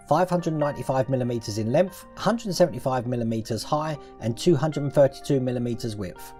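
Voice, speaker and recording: A man reads scripted narration for a product promo in an even, informational way. His voice is mellow and warm. Faint music plays under him. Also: medium pitch.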